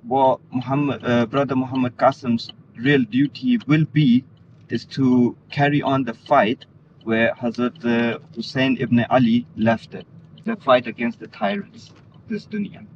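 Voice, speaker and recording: A man's voice: medium pitch, a little slow. Muffled, noisy recording of a man from Denmark. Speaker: interview guest. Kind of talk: earnest religious conversation. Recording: muffled lo-fi audio, clear background noise.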